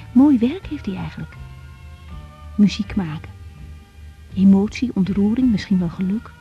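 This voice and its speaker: A young doctor in a radio play, reflecting inwardly as if writing a diary. Her voice is dark and relaxed, in a slightly dull recording with music faintly behind. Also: medium pitch. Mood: lonely, wistful. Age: young adult. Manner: musing, inward. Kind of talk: radio drama diary monologue. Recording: dull, band-limited sound.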